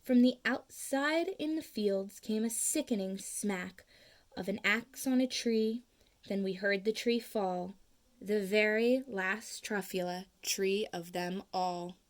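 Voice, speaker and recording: A woman reading a children's storybook aloud in an expressive style. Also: medium pitch. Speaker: storybook reader.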